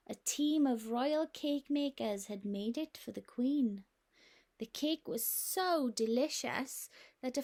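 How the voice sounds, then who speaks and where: A nursery teacher reading a picture book aloud to young children in a gentle, expressive way. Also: female voice, high-pitched.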